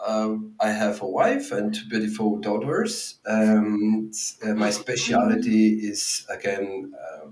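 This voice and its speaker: A man from Bulgaria co-hosting a podcast, chatting casually about tech with lots of fillers and restarts. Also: fairly low pitch. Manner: hesitant, many fillers and restarts. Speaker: podcast co-host. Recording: roomy, distant from the mic.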